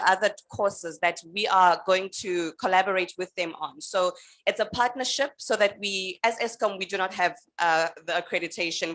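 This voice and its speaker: A woman speaking on a conference panel, with a lowish voice for a woman. She answers in a measured, steady way, pausing often to gather her words. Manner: measured, with frequent um fillers. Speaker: energy utility representative on a panel.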